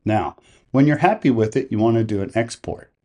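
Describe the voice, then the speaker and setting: A man presenting a software tutorial, explaining each step in a methodical, plain-spoken way. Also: on the low side, a little fast.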